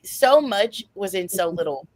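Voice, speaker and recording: A woman's voice: medium pitch, lively intonation, a little slow, a little mellow, no room sound, poor audio. A woman in a casual book chat, speaking with enthusiasm and emphasis and peppering her talk with filler words like "like" and "you know". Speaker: book discussion panelist.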